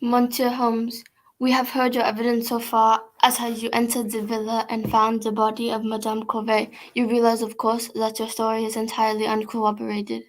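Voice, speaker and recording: A formal, authoritative female magistrate in an old-style radio mystery, played by an amateur actress. Her voice is fairly high and very even as she questions witnesses. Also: normal speed, natural voice, rough recording. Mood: formal, measured. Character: formal, authoritative female French prefect judging a case.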